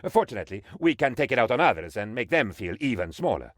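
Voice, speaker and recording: A man voicing an excitable, pompous and dim-witted sycophantic aide to a dictator in a video game, with theatrical, naively enthusiastic delivery. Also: medium pitch, fast talker, no echo, studio quality, natural voice. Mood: earnest, naive. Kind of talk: video game character voice lines.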